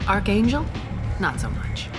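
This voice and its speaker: An actress playing a cool, commanding crime boss in a video game, dry and imperious. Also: female voice, mid-range voice, big pitch swings, a little slow. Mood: cool disdain. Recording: loud music behind.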